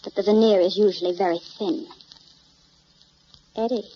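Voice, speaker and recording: An actress playing a poised, refined woman with measured, direct speech in a radio drama. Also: medium pitch, steady tone, lightly acted. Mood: tender, composed. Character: poised, refined, self-possessed woman in love story. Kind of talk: old-time radio drama acting. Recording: dull, hissy old radio recording.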